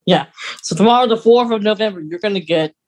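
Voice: medium pitch, fast talker. A podcast co-host chatting casually about fan topics, thinking aloud in a hesitant, rambling way.

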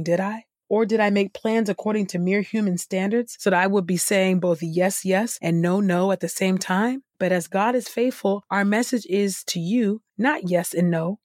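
A woman reading scripture and praying aloud in an earnest, reverent, deliberate way. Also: medium pitch, a little mellow, no room sound, clean studio sound. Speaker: Bible reading podcast host. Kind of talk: scripture reading and spoken prayer.

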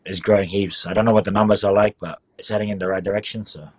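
A man's voice: on the low side, fairly even intonation, quick pace, a little mellow, no echo. A young Dutch man whose accent mixes Dutch with New Zealand English, answering in a laid-back, hesitant way with lots of "um" and "like". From Netherlands. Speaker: rugby player guest. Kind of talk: casual interview answers.

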